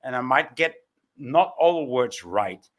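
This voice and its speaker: A man's voice: medium pitch, expressive, a little slow, a bit bright. A man who is not a native English speaker, arguing in a heated live stream, stammering and sarcastic. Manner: stammering, sarcastic, repeats words. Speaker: guest in a live stream debate.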